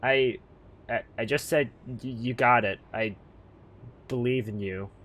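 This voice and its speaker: A man playing an exasperated but fatherly police chief in a comedic audio drama, speaking in a halting, folksy way. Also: mid-range voice, fairly bright tone, close to an everyday voice. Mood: folksy, awkward. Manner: halting, hesitant, folksy phrasing.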